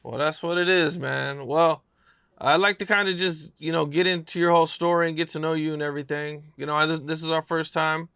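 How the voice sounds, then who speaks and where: Gravelly bright-toned male interviewer. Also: a little high, steady tone, brisk, dry recording, studio quality. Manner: conversational, prompting questions. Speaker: podcast interviewer and host. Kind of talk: casual interview.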